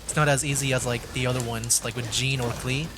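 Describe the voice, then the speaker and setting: A man's voice: mid-range voice, fairly even intonation, fast, noisy background. Casual male gaming streamer, slangy. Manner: reactive, slangy. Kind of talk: casual gameplay commentary.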